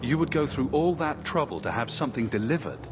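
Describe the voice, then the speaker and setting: A man's voice: big pitch swings, fast talker, music in the background, natural voice. An actor playing a guarded, pragmatic male adventurer in a fantasy game, speaking firmly and tersely with skepticism. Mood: skeptical. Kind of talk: dramatic fantasy game acting. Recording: very loud background ambience and rumble.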